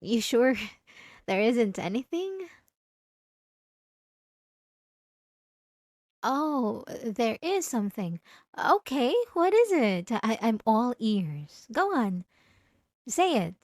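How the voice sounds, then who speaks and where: A woman playing a possessive, obsessive wife in an intimate ASMR roleplay, pleading and defensive, coaxing with halting, stammered self-corrections. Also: fairly high pitch, very animated, a little mellow, no room sound, clean studio sound, heavily acted. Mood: coaxing, suspicious. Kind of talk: ASMR roleplay drama, intimate monologue to listener.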